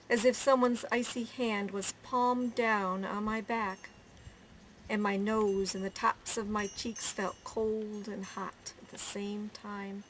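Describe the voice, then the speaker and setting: A woman storyteller reading a children's picture book aloud, with measured phrasing, pauses and drawn-out words. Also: medium pitch, fairly bright tone, rough recording. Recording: faint background noise.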